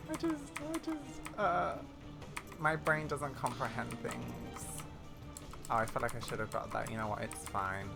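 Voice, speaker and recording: A gaming video host giving casual, excitable, self-deprecating commentary while playing. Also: medium pitch, a little slow, creaky. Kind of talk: casual gameplay commentary, reading game dialogue aloud. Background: music behind the voice.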